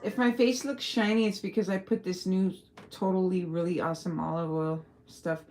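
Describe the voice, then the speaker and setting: A woman's voice: fairly low pitch, fairly even intonation, normal speed, a little mellow, slight background noise. A woman from El Paso with a Texan accent, chatting casually on a livestream, rambling and swearing freely. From El Paso, Texas. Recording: faint music behind.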